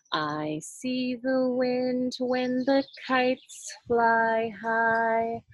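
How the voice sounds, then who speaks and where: A woman's voice: fairly high pitch, no room sound, rough recording. A woman leading a children's sign language storytime, demonstrating and explaining as she goes. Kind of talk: sign language storytime lesson with singing and read-aloud.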